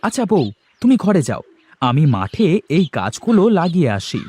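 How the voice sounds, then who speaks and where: Man playing an animated, earnest young farmer. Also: fairly high pitch, normal speed, slight background noise. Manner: animated, exclamatory. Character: simple, earnest young village farmer husband.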